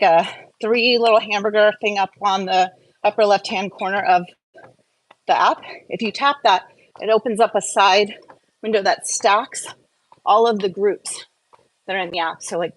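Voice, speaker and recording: A woman's voice: medium pitch, low-quality recording. A woman hosting a casual live Q&A, talking in an informal, rambling, chatty way. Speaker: live-stream host.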